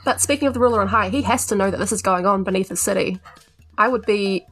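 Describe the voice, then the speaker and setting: Creaky-voiced New woman. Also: medium pitch, quick pace, fairly bright tone, faint music behind. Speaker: player in a tabletop RPG podcast. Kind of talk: casual chat.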